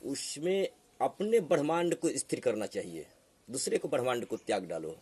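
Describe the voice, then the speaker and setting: A man's voice: mid-range voice, expressive, normal speed, a little mellow, husky. A man with a Bihari accent arguing like a preacher, assertive and admonishing, often putting rhetorical questions. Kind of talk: heated argument, preaching.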